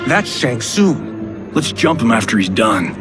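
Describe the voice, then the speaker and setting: Flippant film-star sidekick for a video game: average register, smug quips, heavy music underneath. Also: male voice, medium pitch, very animated, slow pace. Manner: glib, wisecracking. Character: cocky, flippant movie star man. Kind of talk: video game cutscene acting. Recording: loud music behind the dialogue.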